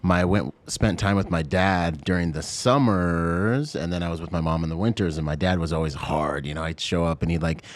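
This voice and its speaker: A man's voice: deep voice, a little fast, hardly any pauses. A man in his thirties from the Bahamas, co-hosting a podcast in a reflective, affirming way. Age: 30s. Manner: reflective, affirming, says "yeah" often. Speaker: podcast co-host. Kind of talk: casual podcast conversation.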